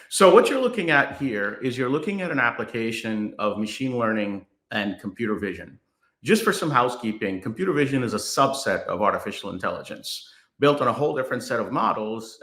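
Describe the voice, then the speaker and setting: Bright-voiced man giving a remote keynote. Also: on the low side, roomy sound. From Guyana. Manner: measured, with frequent fillers and restarts. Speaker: keynote speaker. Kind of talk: remote keynote presentation with demo. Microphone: computer mic on video call.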